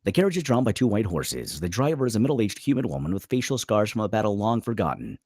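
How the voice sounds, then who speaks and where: A man reads stage directions as dramatic narration. His voice is a little low and husky, very animated in pitch, with clipped phrasing and a dry, close recording. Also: quick pace, no room sound. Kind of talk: dramatic scripted narration at a table read.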